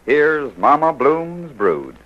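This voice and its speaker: A man's voice: a little high, very animated, takes it slowly. A man introducing and narrating an old-time radio serial in a scripted, scene-setting style. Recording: very noisy, lo-fi vintage radio recording.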